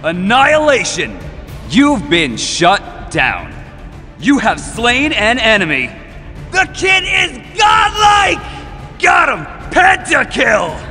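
A man voicing an explosive, over-excited esports game announcer, with drawn-out calls in a reverberant space. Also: very high-pitched, raised voice, heavily acted. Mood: ecstatic. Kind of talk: video game announcer callouts. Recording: long reverb, music underneath.

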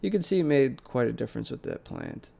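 Young male vlog host with a head cold, nasal and gravelly, relaxed rambling delivery. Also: medium pitch, fairly quick. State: congested, sick and nasal. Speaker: plant channel host. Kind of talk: casual voice-over vlog narration. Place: basement plant room.

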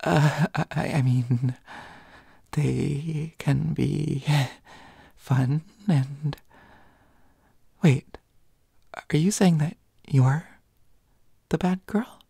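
Woman voicing a breathy, flustered pouty schoolboy. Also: deep voice, no room sound, clean studio sound, heavily acted. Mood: bashful, stammering. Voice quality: breathy, hushed, often whispering. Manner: stammering, flustered, halting. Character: flustered pouty teenage schoolboy, indignant but secretly smitten. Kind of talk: intimate roleplay audio acting.

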